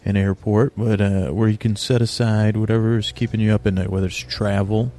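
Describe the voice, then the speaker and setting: A man hosting a podcast, talking in a meandering, halting way and drifting into a slow, lulling bedtime monologue. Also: fairly low pitch, level tone, dark tone, soft background music. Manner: meandering, halting, with restarts and filler words; slow drowsy lulling delivery. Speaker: podcast host.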